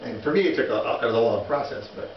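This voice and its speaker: A man's voice: mid-range voice, expressive. Man teaching a class from far off. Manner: halting, many restarts and fillers, chatty anecdotes. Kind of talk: informal classroom lecture. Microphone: distant room mic. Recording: echoey, distant, muffled classroom recording with noise.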